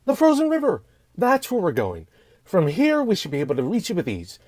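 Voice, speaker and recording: A woman performs a cheerful teenage boy who loves adventure, in an amateur script read. The delivery is upbeat and theatrical, with huge leaps in pitch. The sound is close and dry. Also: wildly swooping pitch, fairly quick, a little mellow, dry recording. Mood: eager. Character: cheerful, mysterious teen boy, eager adventure leader.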